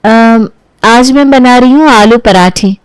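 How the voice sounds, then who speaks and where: A woman's voice: on the high side, slow pace, smooth, dry recording, soft background music, poor audio. A woman voicing a dutiful young daughter-in-law in a family story, polite and deferential. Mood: gentle. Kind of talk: acted dialogue in a Hindi family storybook tale.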